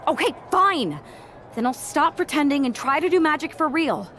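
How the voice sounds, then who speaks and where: Eager, high-voiced theatrical young witch wrestler. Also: animated, normal speed, a bit bright, slight background noise. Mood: indignant, determined. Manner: perky, pleading, overdramatic. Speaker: voice actor in a video game cutscene. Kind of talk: animated video game acting.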